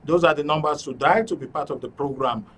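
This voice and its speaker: A man's voice: a little high, brisk, fairly bright tone. A Nigerian man hosting a live call-in programme, speaking courteously with frequent hesitations. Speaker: radio and TV show host. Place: broadcast studio. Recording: distant mic, noticeable background noise.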